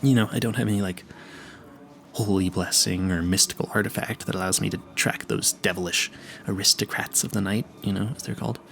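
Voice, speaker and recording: A man's voice: lowish voice, fairly even intonation, fairly quick, vocal fry, a bit bright, a little hiss or hum. A man playing a nervous, clueless young vampire hunter in an audio roleplay, stammering and easily sidetracked as he earnestly chats to the listener. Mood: confiding, sheepish.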